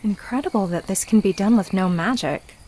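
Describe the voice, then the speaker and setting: A woman's voice: medium pitch, brisk, mellow, a little hiss or hum, natural voice, rough recording. A woman voicing a curious, polite but sceptical young female traveler in a visual novel. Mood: amazed. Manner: polite, inquisitive.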